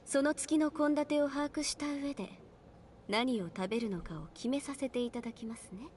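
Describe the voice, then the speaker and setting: High-voiced, prim young sister played by a woman. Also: slight background noise, natural voice. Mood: coolly polite. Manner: polite, measured, quietly controlling. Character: prim, softly menacing young sister of a wealthy family. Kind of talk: Japanese visual novel voice acting.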